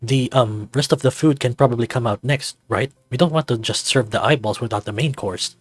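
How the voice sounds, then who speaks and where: A man voicing a caring, easygoing monster husband who coaxes gently and tactfully. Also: medium pitch, brisk, no room sound, faint music behind, lightly acted.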